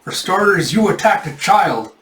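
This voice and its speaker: A man's voice: high-pitched, expressive, moderate pace, fairly bright tone, voice pushed up. A man voicing a brave, protective young archer woman in a storytelling, earnest and commanding. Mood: defiant, threatening. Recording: echoey room, distant from mic.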